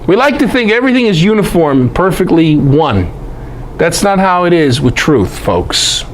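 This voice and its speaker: A man's voice: a little high, big pitch swings, moderate pace, slight background noise, low-quality recording. A man lecturing on scripture in an emphatic, conversational way, with rhetorical questions and folksy asides. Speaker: presenter and Bible teacher. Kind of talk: animated lecture on scripture scholarship.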